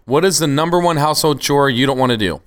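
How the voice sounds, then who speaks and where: A man's voice: a little high, fast talker. A man co-hosting a podcast, upbeat and steering the chat to the next segment. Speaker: podcast co-host.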